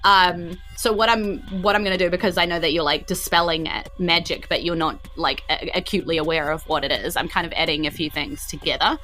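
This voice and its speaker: A woman narrating a tabletop game as game master, explaining haltingly and acting out small effects. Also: lowish voice, steady tone, sharp tone, faint music behind, low-quality recording.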